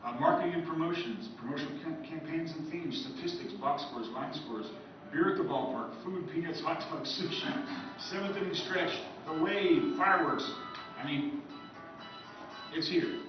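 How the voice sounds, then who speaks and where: A man's voice: on the high side, moderate pace, music in the background. A man giving a rambling, friendly talk to a convention audience, listing things and adding asides. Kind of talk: informal lecture to a live audience. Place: convention meeting room. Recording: distant echoey room mic, muffled with background hiss.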